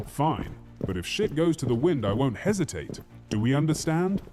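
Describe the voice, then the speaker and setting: A man playing a brash, foul-mouthed, battle-hardened soldier in a fantasy audio drama, with a crude, forceful manner. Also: mid-range voice, very animated, moderate pace, over music, lightly acted. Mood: urgent, insistent.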